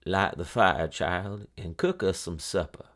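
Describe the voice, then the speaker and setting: A man's voice: sits low, unhurried, fairly bright tone, full character voice. A man voicing a kindly, folksy old woman of the backwoods in a storybook reading. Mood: folksy, content.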